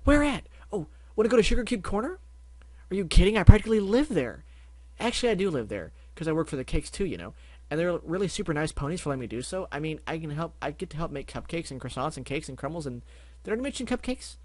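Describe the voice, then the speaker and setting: A man voicing a hyper, bubbly young pink pony mare who rambles excitably. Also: deep voice, fast, no echo, voice pushed up.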